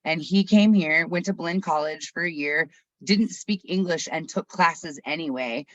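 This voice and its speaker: A person of about forty from Texas, whose first language was Arabic, leading a workshop chat on a video call in an open, chatty way with quick asides. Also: mid-range voice, fairly bright tone, no room sound. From Texas, USA. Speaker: workshop facilitator. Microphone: computer mic on a video call. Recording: dull, dry video call audio.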